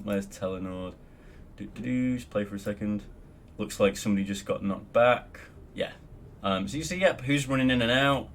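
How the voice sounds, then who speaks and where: A man's voice: on the low side, fairly bright tone, slight background noise. A young Australian man casually talking through a game tutorial at his desk, rambling with lots of ums and the odd swear word. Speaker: guild member teaching raid logs. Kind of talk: casual screen-recorded tutorial.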